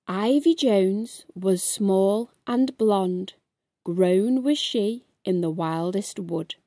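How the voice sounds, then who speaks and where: Unhurried, mellow woman reading a storybook. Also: medium pitch, no echo, studio quality. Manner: measured bedtime-story delivery in verse. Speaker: storybook narrator. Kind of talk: rhyming picture book read aloud.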